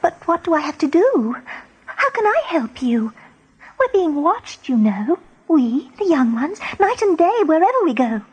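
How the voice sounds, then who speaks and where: A young doe rabbit in a 1980s radio drama, pitched high. She sounds anxious and hesitant, with an earnest, plucky streak underneath. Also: sing-song, measured pace, exaggerated voice, low-quality recording. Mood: nervous. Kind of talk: 1980s radio play acting.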